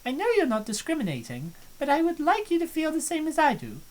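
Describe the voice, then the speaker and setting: A woman's voice: high-pitched, huge pitch swings, fast talker. A woman voicing a confident, cheerful young woman in a fan dub of a visual novel, with a self-assured, breezy delivery.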